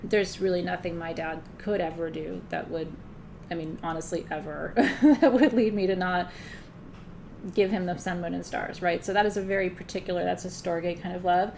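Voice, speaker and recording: A woman's voice: lowish voice, steady tone, moderate pace, vocal fry, darkish tone, a little hiss or hum. A woman teaching a live spiritual class in a loose, conversational way, often checking in with her listeners. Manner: loose, frequent fillers and restarts.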